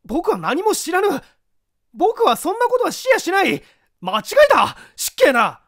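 Agitated gentleman suspect for a mystery audiobook, played by a man, indignant, pitched very high. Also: huge pitch swings, a little slow, dry recording, studio quality, exaggerated voice. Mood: panicked, indignant.

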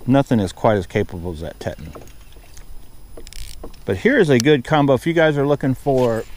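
A middle-aged man chatting casually as he fishes from a small boat on a river, rambling along and getting excited when a fish bites, recorded on an action camera outdoors. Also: medium pitch, normal speed, slight background noise. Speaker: fishing vlogger. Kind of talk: casual running commentary while fishing. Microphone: body-mounted action camera.

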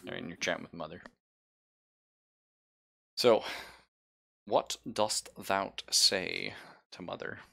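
A man's voice: deep voice, takes it slowly, gravelly, pauses often, pushed and bright. A man narrating a tabletop roleplaying game as game master in a casual, informal, sweary way. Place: online game session, streamed.

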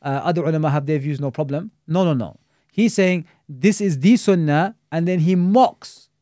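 A man's voice: a little high, moderate pace, warm tone, dry recording, clean studio sound. A man, an Islamic scholar and teacher, talking critically in a casual podcast discussion, scattering Arabic religious terms through his speech.

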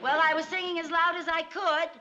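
A pushy comic housewife, very high voice, sitcom laughter and music. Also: female voice, little pitch movement, brisk, highs cut off, over a noisy crowd, very noisy. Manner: wheedling, comic. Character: eager, scheming woman who cannot sing. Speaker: sitcom lead. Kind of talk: 1960s sitcom acting. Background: studio audience laughter and music.

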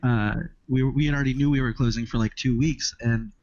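A man's voice: mid-range voice, fairly even intonation, brisk, darkish tone, no echo. A man of twenty from Southern California, a podcast guest chatting casually and rambling with restarts. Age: 20s. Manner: rambling, restarts phrases, easygoing. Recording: dry, muffled lo-fi audio.

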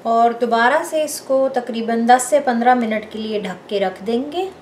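A woman hosting a home cooking show, calmly explaining each step and addressing her viewers directly. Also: on the high side, unhurried, slight background noise. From Pakistan. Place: home kitchen.

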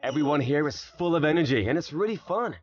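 Man voicing a lively, cocky teenage boy. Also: fairly high pitch, fast, no echo, highs cut off. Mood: reflective. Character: cocky, good-natured teenage boy, former ace baseball pitcher. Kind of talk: manga dub narration and dialogue.

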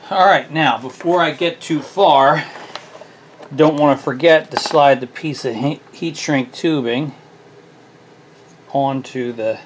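A man's voice: on the high side, slow, pauses often, noisy background. A middle-aged man casually talking through a repair at his workshop bench, thinking aloud with a self-deprecating, easygoing manner. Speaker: small engine repair host. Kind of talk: casual hands-on repair tutorial.

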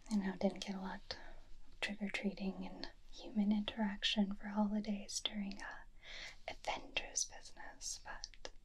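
A young woman performing an ASMR roleplay as an affectionate, teasing superhero wife, speaking softly and intimately close to the microphone. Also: medium pitch, monotone, breathy edge. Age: young adult. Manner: soft, tender, slow and intimate, teasing. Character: affectionate, playfully bossy superhero witch doting on her husband. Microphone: close binaural ASMR microphone.

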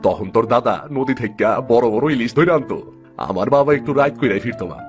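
A man's voice: mid-range voice, animated, moderate pace, soft background music, natural voice. A man playing a poor, good-natured village fisherman and father in a cartoon, speaking plaintively with a rural eastern Bengali accent. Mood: fond. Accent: rural eastern Bengali (Bangladeshi). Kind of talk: dramatic cartoon story dub.

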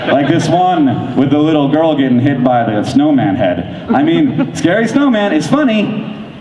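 A man giving a keynote talk on stage in a large convention hall, fast and enthusiastic with lots of "like"s, speaking into a handheld mic. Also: a little high, sing-song, darkish tone, slight background noise. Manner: fast, enthusiastic, filler-heavy with frequent likes. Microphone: handheld microphone. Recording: echoey hall from far off.

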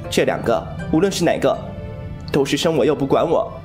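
A man's voice: medium pitch, fairly quick, over music, close to an everyday voice. A man playing a cheeky, boastful young rogue healer in a Chinese wuxia audio drama, playful and theatrical. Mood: wry, wistful.

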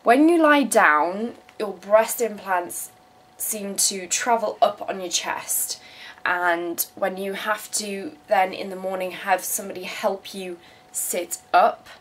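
A woman's voice: medium pitch, takes it slowly, a bit bright, slight background noise, rough recording. A woman in her twenties from South Africa who moved to England as a teenager, chatting frankly and casually to camera with some swearing as she answers viewer questions. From South Africa, moved to England in her teens. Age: 20s. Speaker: vlogger. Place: small room at home, indoors. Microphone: camera mic at about arm's length.

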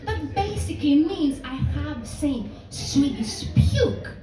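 A woman's voice: high voice, expressive, slow, roomy sound. A young Greek woman with a strong Greek accent doing a live stand-up set, self-mocking and laughing at her own lines. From Greece. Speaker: stand-up comedian. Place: comedy club stage with audience. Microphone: handheld stage mic. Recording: raw live room recording from far off. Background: loud audience laughter.

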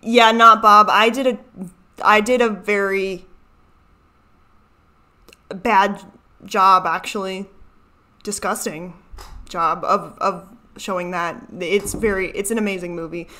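A woman's voice: mid-range voice, fairly even intonation, slow, long pauses, pushed and bright. A young woman with a Chicago American accent, giving casual reaction commentary in a rambling, self-interrupting way. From Chicago, United States. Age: young adult. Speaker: video host. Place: small furnished room at home. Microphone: desk microphone, seen at frame edge.

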